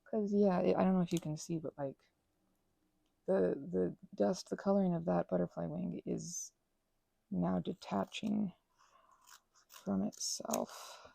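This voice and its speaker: A woman making an ASMR craft video, rambling softly with whispered asides, little exclamations and meandering self-interrupting tangents. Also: fairly low pitch, fairly even intonation, unhurried, gravelly, long pauses, dry recording, rough recording. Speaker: ASMR creator.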